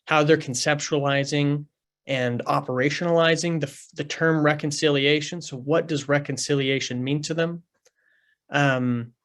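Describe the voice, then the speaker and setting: A man's voice: on the high side, fairly bright tone. A man presenting a research study on a panel, with frequent ums and repeated words.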